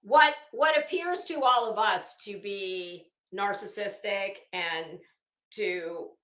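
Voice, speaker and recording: A middle-aged woman giving casual live commentary in a halting, deliberate, emphatic way. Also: mid-range voice, a bit bright. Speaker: YouTube commentator and host. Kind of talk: casual live commentary with document read-outs. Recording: echoey room.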